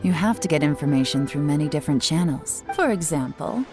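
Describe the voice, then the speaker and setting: A woman voicing a cool, shrewd young intelligence chief in a video game, speaking in a measured, confident, instructive way. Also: deep voice, expressive, over music. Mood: composed. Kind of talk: video game character voice acting.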